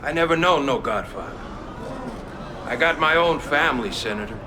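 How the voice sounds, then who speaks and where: An actor playing a rough, evasive old mob witness in a game cutscene, halting and ungrammatical. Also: male voice, fairly high pitch, lively intonation, crowd noise, close to an everyday voice. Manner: ungrammatical, halting, shrugging off questions. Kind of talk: video game cutscene acting.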